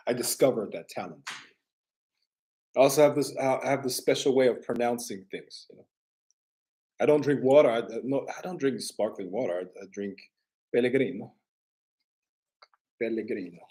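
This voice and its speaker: A man chatting casually as a livestream host, halting and offhand, often repeating his phrases. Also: medium pitch, dark tone, poor audio. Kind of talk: casual rambling livestream chat.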